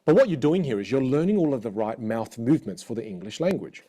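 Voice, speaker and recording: A man with an Australian accent coaching a live online audience in an energetic, encouraging way. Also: medium pitch, fast. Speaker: communication coach.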